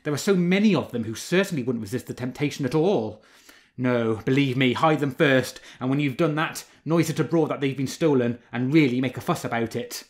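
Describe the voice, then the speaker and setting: Guy doing a sly smooth-talking fox, fawning and a bit raised and loud, storybook voice. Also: mid-range voice, brisk, close to an everyday voice. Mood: scheming. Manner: fawning, bowing and scraping. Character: sly flattering male fox, Renard.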